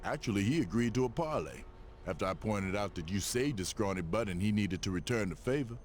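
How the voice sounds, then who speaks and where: A man's voice: on the low side, expressive, brisk, darkish tone, slight background noise, lightly acted. An actor voicing an earnest, streetwise male hero and neighborhood protector in a video game cutscene.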